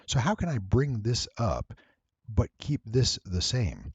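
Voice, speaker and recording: A man narrating a tutorial, explaining things step by step in a deliberate, emphatic way. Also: mid-range voice, sing-song, husky, no room sound. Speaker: voice actor giving an audio editing tutorial. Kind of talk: explanatory screen-recording narration.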